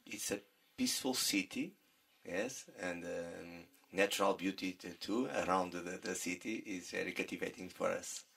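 Deep, husky Portuguese man, halting English, school panel. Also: fairly bright tone. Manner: hesitant, searching for English words. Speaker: visiting teacher. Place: school classroom, indoors.

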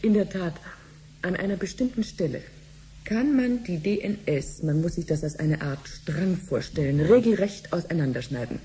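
Woman as patient scientist, radio play, low mellow voice, didactic, faint noise. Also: expressive, normal speed, natural voice. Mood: patient, explaining. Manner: didactic, clearly phrased explaining. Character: female cancer researcher, patient, friendly, eager to explain. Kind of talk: 1970s German radio drama acting.

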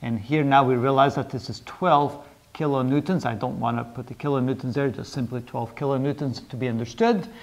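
A man's voice: mid-range voice, fairly even intonation, brisk. A middle-aged man lecturing at a whiteboard, explaining a worked problem aloud in a methodical, step-by-step manner. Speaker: engineering lecturer. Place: indoors, in front of a whiteboard.

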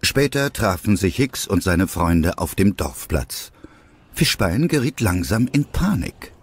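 Male narrator, radio play for families, lower register, lively delivery. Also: measured pace, a little hiss or hum. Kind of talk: audio drama scripted narration.

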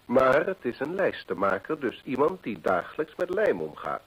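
An actor playing a keen, self-assured detective in a radio play, precise and emphatic, with a husky voice. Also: male voice, mid-range voice, big pitch swings, normal speed, fairly bright tone, rough recording. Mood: keen, explaining. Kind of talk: Dutch radio play acting. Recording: old radio play recording.

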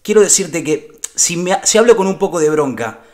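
Casting: young man as an earnest, wronged Argentine uncle, higher voice, halting and over-formal, for a prank phone call. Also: animated, very noisy, close to an everyday voice. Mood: apologetic, aggrieved.

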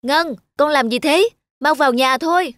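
A woman voicing a calm, patient young mother. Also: high-pitched, normal speed, no room sound, studio quality, voice pushed up. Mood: coaxing. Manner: gentle, coaxing. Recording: music bed under voice.

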